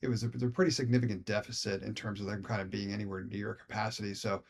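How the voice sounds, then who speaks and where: A man with an American accent and a fairly low voice co-hosts a podcast. He talks casually and meanders through his points, hedging as he goes. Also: flat delivery, fast talker, gravelly, breathy edge. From Philadelphia, United States. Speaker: podcast co-host.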